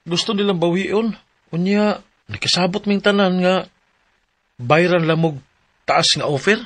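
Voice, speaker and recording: Hesitant Cebuano man, highish voice. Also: a little slow, fairly bright tone, slight background noise. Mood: apologetic. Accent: Cebuano Filipino. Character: husband's longtime friend, hesitant land seller. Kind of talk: radio drama acting.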